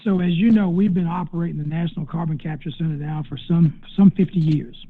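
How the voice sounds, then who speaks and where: A man answering questions as a company executive on an earnings call, speaking haltingly and repeating words. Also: a little high, gravelly, slightly rough. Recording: dull band-limited conference call audio.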